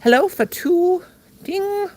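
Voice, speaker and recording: A woman's voice: very high-pitched, animated, slow, warm tone. An older American woman hosting a casual crochet livestream, playful and silly, laughing and talking to herself as she works. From United States. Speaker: livestream host.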